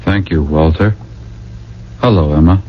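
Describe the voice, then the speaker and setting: A man's voice: low-pitched, unhurried. A man in a radio drama playing a dazed, subdued man, hesitant in his speech. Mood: dazed, troubled. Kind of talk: 1980 radio drama acting. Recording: dull old radio recording, faint hum.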